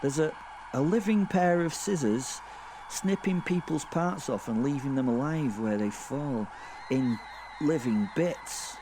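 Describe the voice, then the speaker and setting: A man's voice: mid-range voice, expressive, noisy background. Morbid, rambling werewolf antihero played by a man. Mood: gleeful fascination. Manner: rambling, conversational, sweary, halting and self-correcting. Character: gleefully morbid male werewolf killer narrating his fall through hell. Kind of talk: first-person horror audio drama monologue.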